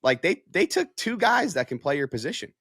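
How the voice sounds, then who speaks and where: A man's voice: fairly high pitch, sing-song, brisk, a bit bright, slightly rough, no echo, clean studio sound. A man analysing football in a casual podcast discussion, with an emphatic manner that leans on repeated phrases.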